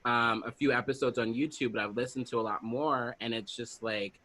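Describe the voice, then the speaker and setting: A man from the US East Coast hosting a casual podcast chat, enthusiastic and quick to laugh. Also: medium pitch, fairly even intonation, bright, forceful tone. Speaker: podcast host.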